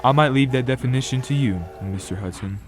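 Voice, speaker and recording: Man playing a brisk, businesslike lawyer, music behind. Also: mid-range voice, lightly acted. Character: impatient, businesslike lawyer father from New York. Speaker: amateur radio drama actor. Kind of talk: radio drama acting.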